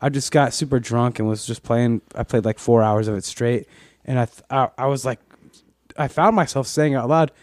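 A man's voice: mid-range voice, normal speed, darkish tone, dry recording, clean studio sound. A man co-hosting a casual gaming podcast, rambling with lots of swearing and restarts. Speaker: podcast co-host. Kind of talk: casual chat.

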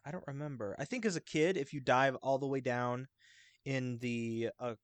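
A man's voice: mid-range voice, normal speed, no echo. Man chatting keenly, dry close sound. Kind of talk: casual chat about a video game.

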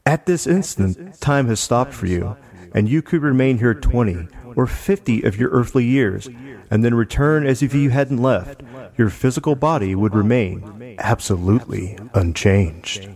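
A man voicing a calm, friendly, towering alien woman with measured, formal delivery. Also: medium pitch. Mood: solemn, explanatory. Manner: measured, formal story reading. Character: calm, friendly nine-foot alien woman, reassuring and wise.

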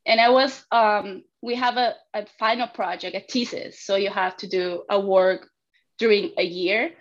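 A young woman from Argentina with an Argentine Spanish accent, speaking halting non-native English as a guest in a casual interview. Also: mid-range voice, steady tone. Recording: dull, lo-fi call audio.

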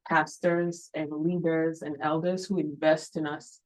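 A woman's voice: low voice, flat delivery, a little slow, rough recording. A woman from Kenya, a missionary planting a church, speaking earnestly at a mission event with many ums and you knows.

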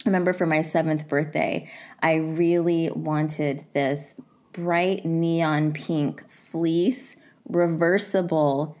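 A woman's voice: low-pitched, fairly even intonation, slow pace, a little mellow. A woman in her forties hosting a podcast, telling a personal story in a reflective, conversational way, a little nasal from a head cold. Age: 40s. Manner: reflective, conversational, with fillers like "you know" and "like". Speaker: podcast host.

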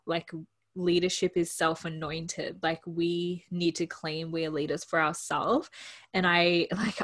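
A woman's voice: low-pitched, fairly bright tone. A woman hosting a podcast interview, enthusiastic and casual, often saying "like". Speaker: podcast host.

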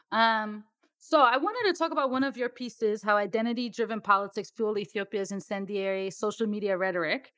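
A woman hosting an interview, asking long, winding questions at a quick pace. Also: mid-range voice, fairly bright tone, no room sound. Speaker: interviewer host.

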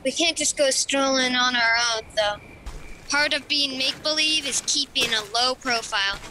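A woman's voice: high voice, moderate pace, sharp tone, a little hiss or hum, rough recording. A young girl playing a confident, streetwise dragon in a children's audio drama, with a casual, wry manner. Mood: reassuring.

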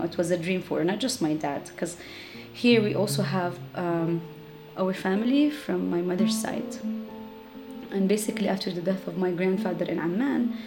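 A woman in her thirties, raised in Jordan, telling her family's story reflectively with many fillers. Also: on the low side. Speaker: interviewee. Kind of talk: personal storytelling. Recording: music playing under the voice.

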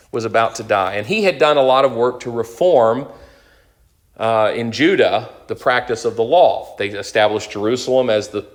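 A man in his thirties teaches a Bible lesson off the cuff. His voice sits at a middle pitch, and he works through points aloud, pausing on fillers and restarting phrases. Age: 30s. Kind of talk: unscripted lecture on scripture.

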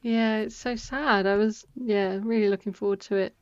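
A woman's voice: medium pitch, fairly even intonation, normal speed, clean tone, no room sound. A woman co-hosting a book podcast, thinking aloud in casual talk and repeating phrases as she goes. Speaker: podcast co-host. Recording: dry, dull lo-fi sound.

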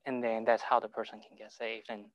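Man, halting broken English, church talk, dry sound. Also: on the low side, little pitch movement, no echo, highs cut off. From Hong Kong. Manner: halting, repeats words, broken grammar. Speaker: speaker sharing testimony. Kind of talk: informal talk to a church group.

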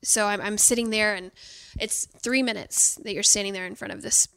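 A woman's voice: mid-range voice, fairly even intonation, moderate pace, vocal fry, sharp tone, clean tone, no room sound. A woman telling a personal story in a casual podcast conversation, candid and full of fillers.

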